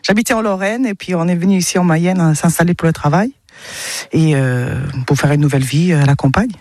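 A cheerful woman from Italy, chatting in a street interview, laughing easily and talking haltingly. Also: fairly low pitch, darkish tone, no room sound. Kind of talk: casual chat.

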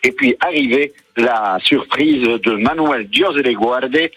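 Husky-voiced man reporting live. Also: medium pitch, wildly swooping pitch, slow pace, a bit bright, no room sound, rough recording. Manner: hesitant, many fillers, enthusiastic. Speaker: field reporter. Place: bullring arena.

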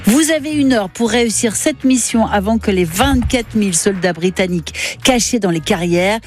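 A woman's voice: mid-range voice, expressive, moderate pace. Upbeat woman reading event listings. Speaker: radio columnist presenting an events agenda. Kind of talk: read radio segment.

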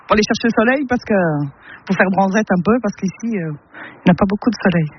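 A woman's voice: medium pitch, fast. A cheerful, smiling woman from Luxembourg chatting casually in an interview. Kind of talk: casual chat. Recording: lo-fi radio field recording, faint noise.

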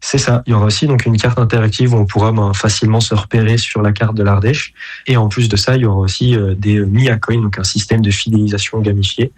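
Guy from belgium on local radio, lowish voice, lots of euh and ben, kinda halting. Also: flat delivery, darkish tone, breathy edge. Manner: hesitant, many fillers and restarts. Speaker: app founder guest. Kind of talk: radio interview answers.

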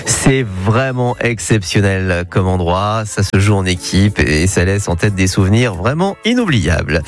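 Jovial local radio host. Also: male voice, sing-song. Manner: chatty and jovial, quick pitch jumps when announcing answers. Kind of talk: upbeat radio game hosting. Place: radio studio. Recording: radio broadcast with faint music bed.

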